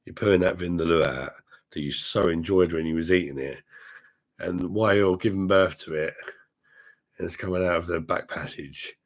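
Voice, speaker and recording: A man hosting a live stream, chatting with his viewers in a rambling, crude way full of swearing, his voice hoarse because he is ill. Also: sits low, fairly even intonation, normal speed. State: hoarse, unwell. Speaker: livestream host reading chat. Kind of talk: casual crude drinking chat.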